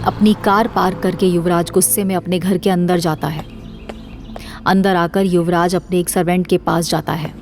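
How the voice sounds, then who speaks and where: A woman's voice: on the low side, fairly even intonation, normal speed, soft background music. A woman narrating a scripted dramatic story as the narrator of an audio series.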